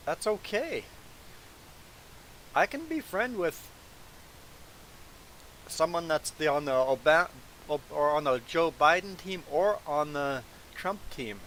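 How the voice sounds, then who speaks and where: A man's voice: fairly high pitch, low-quality recording. A man with a sniffly nose chatting casually on a live stream, talking in a rambling, conversational way. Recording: steady background hiss. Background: hiss.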